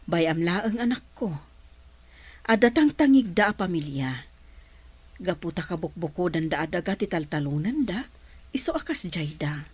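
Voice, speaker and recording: A woman playing a poor, patient, hardworking village mother in a radio drama, gently advising. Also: fairly low pitch, big pitch swings, moderate pace, pauses often, husky. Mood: soothing. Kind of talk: Filipino radio drama acting.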